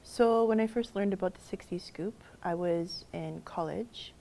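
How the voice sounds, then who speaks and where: A woman's voice: on the low side, unhurried, vocal fry, dark tone, a little hiss or hum. A woman, an activist, speaking earnestly and reflectively in a documentary interview, often restarting her sentences. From Canada.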